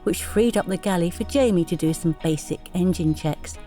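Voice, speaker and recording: A woman narrates a travel vlog in a warm, mellow voice that sits a little low. Her delivery is scripted, with soft music underneath. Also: moderate pace. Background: faint music.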